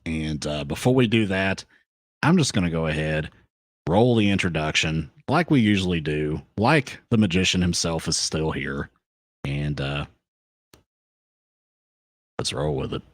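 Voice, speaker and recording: A man hosting a solo podcast, talking off the cuff with hyped banter and shout-outs to his chat, into a compressed studio microphone. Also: a bass voice, animated, measured pace, long pauses, no room sound. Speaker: podcast host.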